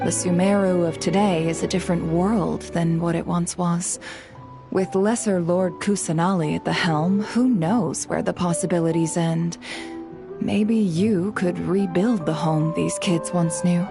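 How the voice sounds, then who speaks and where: A calm, reflective adult woman from a video game. Her voice sits a little low, and she speaks in an even, hopeful way. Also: measured pace, gravelly, over music.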